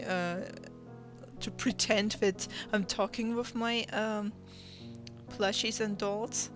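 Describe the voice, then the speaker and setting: Young woman, Russian native, halting voice-over narration, mid pitch, music bed behind. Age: teens. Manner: hesitant, many restarts and fillers. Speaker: channel host. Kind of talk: draw my life voice-over narration.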